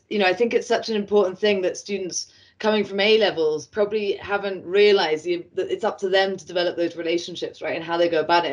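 Fast-talking woman moderating a panel. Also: medium pitch. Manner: fast, reflective, many fillers and "right?" tags. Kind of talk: video call panel discussion. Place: home room. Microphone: laptop webcam on video call.

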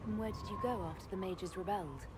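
A woman's voice: mid-range voice, quick pace, very noisy, natural voice. An actress playing a composed, direct woman leader in a video game, with a dry, matter-of-fact manner. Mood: inquiring.

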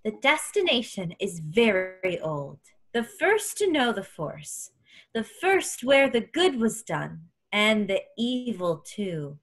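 A woman's voice: medium pitch, very animated, heavily acted. A woman playing a cryptic alien seer who speaks in solemn, oracular broken phrases. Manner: slow, oracular, broken syntax.

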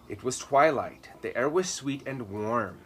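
Expressive male storyteller, noisy outdoor ambience. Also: mid-range voice, unhurried. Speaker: storyteller reading children's books. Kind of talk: expressive read-aloud of a children's story. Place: outdoor garden patio, seated. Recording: clear ambient noise behind voice.

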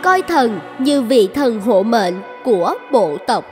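A woman's voice: on the high side, big pitch swings, slow pace, long reverb tail, soft background music. A woman playing a fairy-tale storyteller, narrating the opening of a legend in scripted storybook style. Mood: calm storytelling.

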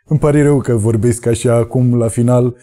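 A man in his thirties closing the show with a halting appeal to subscribe, his voice going. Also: medium pitch, fairly even intonation, warm tone. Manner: halting, many fillers. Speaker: show host. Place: studio in front of red backdrop.

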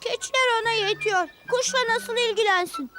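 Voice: extremely high pitch, moderate pace, over loud music. An actor voicing a practical, skeptical young goatherd boy in a cartoon dub, with a childlike, matter-of-fact, teasing manner. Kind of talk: animated cartoon dubbing.

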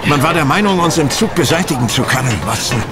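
An actor playing an astute, composed male detective in a German radio play, speaking in a deliberate, assured way. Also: lively intonation, loud music behind the voice, close to an everyday voice. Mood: urgent, commanding. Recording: clear vehicle noise behind the voice. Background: train rumble.

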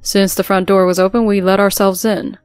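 A woman's voice: medium pitch, little pitch movement, a little fast, smooth, no room sound, close to an everyday voice. A stern older father-in-law scolds with open contempt, voiced by a woman storyteller. The delivery stays fairly level and dry, with light music behind.

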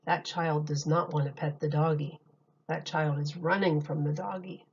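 A woman reading a picture book aloud as a teacher, with simple, deliberate phrasing and questions for young listeners. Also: low-pitched, steady tone, a little mellow, highs cut off, slight background noise. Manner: simple, deliberate phrasing addressed to small children.

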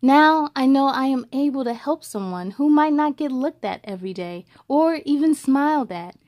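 A young woman storyteller reading aloud for children in an expressive, encouraging way, addressing them directly. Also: sits high, moderate pace. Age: young adult.

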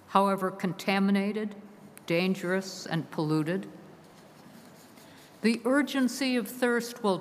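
A woman preaching a solemn sermon from a pulpit, measured and slow, her phrases sinking at the ends. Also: mid-range voice. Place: large stone church, from a pulpit. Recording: long church reverb heard from afar.

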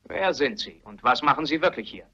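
An actor playing a suspicious, accusing gentleman of the house in a German radio play, insistent and sharp. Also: medium pitch, expressive, fairly quick. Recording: dull, muffled radio play recording.

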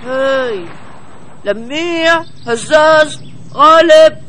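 A friendly young male cartoon bird with a high voice. He is puzzled yet caring, exclaims expressively and speaks clearly over faint background noise. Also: very slow, pushed and bright, heavily acted. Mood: puzzled, worried. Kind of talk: Arabic children's cartoon dubbing.

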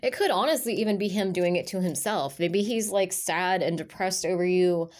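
A woman's voice: fairly low pitch, normal speed, darkish tone. Stuffy-nosed woman rambling through a tarot reading. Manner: rambling, hesitant, many fillers and restarts. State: stuffy nose from allergies. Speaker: tarot reader. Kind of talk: casual tarot reading monologue. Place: indoors at a table of tarot cards.

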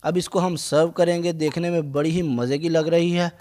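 A man's voice: on the high side, fairly even intonation, moderate pace, a little mellow, a little hiss or hum. A man with a Pakistani accent, narrating a recipe as the host of a cooking channel.

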